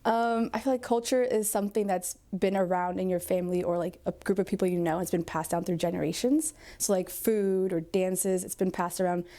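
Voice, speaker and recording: 18 yr old girl chatting in an interview, warm mellow voice, says like a lot. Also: lowish voice, steady tone, a little fast, hardly any pauses. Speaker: high school senior interviewee. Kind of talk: casual interview answers.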